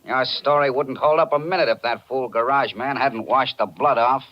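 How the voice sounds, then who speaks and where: An actor playing a blunt, suspicious American police detective, brisk and accusing in 1940s radio style. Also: male voice, mid-range voice, close to an everyday voice. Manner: brisk, accusing, hard-nosed. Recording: muffled 1940s radio recording.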